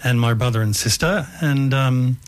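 An older man with an Australian accent recounts his working life on air. He speaks at a middling pitch, unhurried and a little hesitant, filling his pauses with ums. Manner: relaxed, hesitant with frequent ums. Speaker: guest. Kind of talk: life story interview.

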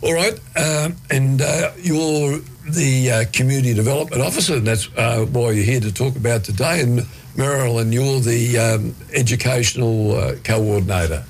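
Croaky Australian man hosting radio. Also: mid-range voice, moderate pace, a little hiss or hum. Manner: easygoing, chatty questions with restarts. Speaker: community radio host. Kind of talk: casual interview chat.

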